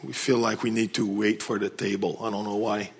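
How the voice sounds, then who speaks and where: A man's voice: fairly low pitch, flat delivery, gravelly. A man whose first language is French, speaking English with a Québécois accent as a guest speaker to a church gathering, joking and restarting his sentences. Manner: joking, self-deprecating, halting restarts. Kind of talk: casual talk to a church gathering.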